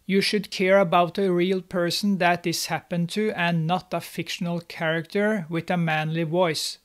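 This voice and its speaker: A young man with a fairly high voice narrates a film recap, steady and scripted. His tone stays snarky and mocking as he pokes fun at the characters. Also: normal speed. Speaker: movie recap host. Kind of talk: sarcastic movie recap narration. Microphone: studio mic, on camera.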